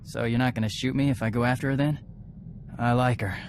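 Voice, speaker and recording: An actor playing an earnest, battle-hungry young mercenary warrior in a video game, terse and plain-spoken. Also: male voice, medium pitch, fairly even intonation, a little fast, music in the background, lightly acted. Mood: earnest, restrained. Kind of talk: video game cutscene acting.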